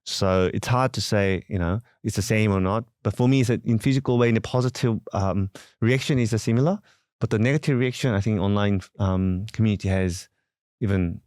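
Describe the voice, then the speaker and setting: Korean-accented priest, halting and unhurried. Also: male voice, fairly low pitch, a little mellow, dry recording, studio quality. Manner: halting, searching for words with frequent fillers. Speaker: parish priest. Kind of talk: interview.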